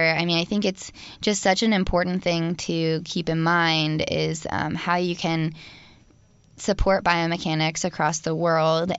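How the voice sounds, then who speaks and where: A woman's voice: fairly low pitch, a bit bright, clean tone, no room sound. Dry-sounding woman hosting a podcast. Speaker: podcast host. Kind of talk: casual podcast chat and scripted intros. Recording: dry, dull lo-fi audio.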